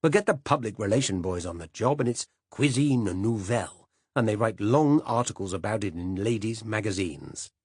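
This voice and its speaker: A man's voice: fairly low pitch, sing-song, moderate pace, breathy edge, no room sound, clean studio sound, pitched above the performer's own voice. A man reading aloud voices a blunt, domineering older man who growls his opinions at the dinner table. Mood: gruff commanding. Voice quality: growling, commanding.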